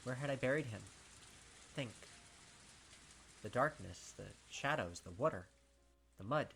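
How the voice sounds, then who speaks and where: Bright-voiced young man reading a game. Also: mid-range voice, measured pace, slight background noise. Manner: dramatic first-person reading broken by excited asides. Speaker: let's play gamer. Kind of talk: reading a visual novel aloud with commentary.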